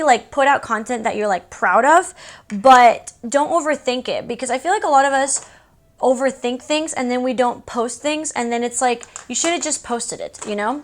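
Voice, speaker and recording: High-voiced young woman, native Spanish speaker, chatting candidly. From born in Mexico City, raised in Houston, USA. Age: young adult. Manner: candid, informal, conversational asides. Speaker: beauty YouTuber. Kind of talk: casual chatty Q&A vlog.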